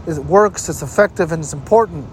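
A man with a noticeably high voice delivers motivational advice straight to his listeners. He leans on key points and repeats them for emphasis. The recording carries outdoor noise, with traffic and ambient sound around him. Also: a little fast, darkish tone. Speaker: host giving health tips. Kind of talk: motivational advice talk to camera.

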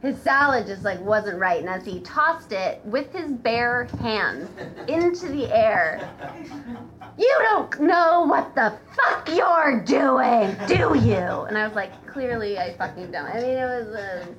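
A woman's voice: a little high, animated, slow, a bit bright, muffled recording. A woman guest telling anecdotes in casual, sweary, sardonic chat. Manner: sweary, sardonic, hesitant phrasing with frequent "like". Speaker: podcast guest. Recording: crowd chatter behind voice.

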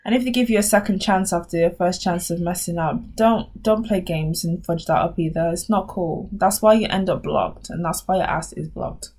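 A woman's voice: on the low side, moderate pace, hardly any pauses, a little mellow. A woman co-hosting a podcast, giving blunt, emphatic advice in casual chat. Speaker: podcast co-host.

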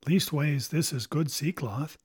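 A man's voice: on the high side, a little slow, darkish tone, breathy edge. A man voicing a bold male pirate sailor who talks in blunt sailor dialect.

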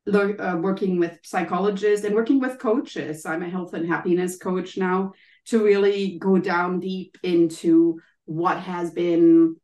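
A woman's voice: on the low side, fairly even intonation, unhurried, darkish tone, echoey room. A German woman with a German accent, a guest coach explaining things in casual interview answers, with frequent ums and maybes. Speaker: guest, health and happiness coach.

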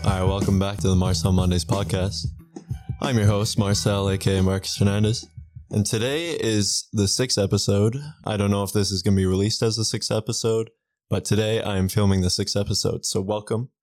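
A young Canadian man hosting a casual podcast, relaxed and rambling with restarts. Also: lowish voice, brisk, no room sound, clean studio sound, faint music behind. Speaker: podcast host.

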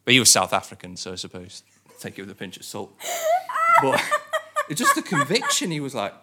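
Animated young man with a Stoke accent. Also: mid-range voice, brisk, very noisy. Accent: Stoke-on-Trent. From northern England, Stoke-on-Trent. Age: young adult. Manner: animated storytelling, quoting others. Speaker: student podcast guest. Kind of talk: casual anecdotal chat.

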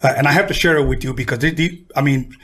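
A husky-voiced man, interviewing and sharing anecdotes in casual chat. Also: mid-range voice, quick pace, a bit bright. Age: 50s. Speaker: radio interviewer, music producer.

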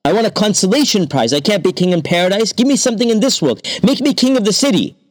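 A man's voice: medium pitch, animated, moderate pace, pitched above the performer's own voice, natural voice. A man doing a sly, whiny thief. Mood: whining. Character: quick-witted scheming male thief. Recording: long hall reverb.

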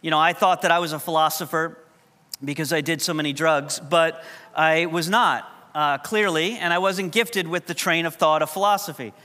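High bright-voiced New preacher. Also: male voice, fairly even intonation, moderate pace. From New York. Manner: conversational, humorous storytelling. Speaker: pastor. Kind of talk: sermon. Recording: long hall reverb.

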